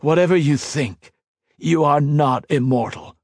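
A man's voice: on the high side, measured pace, no room sound, studio quality, pitched above the performer's own voice. A male narrator voicing a wounded young hero who answers his captor in a strained, defiant way.

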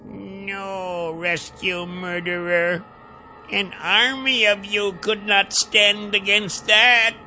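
A man's voice: sits high, flat delivery, slow pace, sharp tone, soft background music, voice pushed up, exaggerated voice, low-quality recording. A man voicing a doubtful, dismissive gnome leader in a storybook, speaking curtly.

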